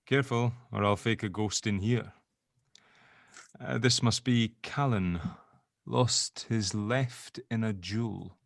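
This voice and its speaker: A man's voice: lowish voice, steady tone, takes it slowly, gravelly, no echo, clean studio sound. A man playing a sardonic, joking sceptic in an audio drama table read, bantering informally. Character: sardonic, sceptical spirit-dealing grave opener, gently joking.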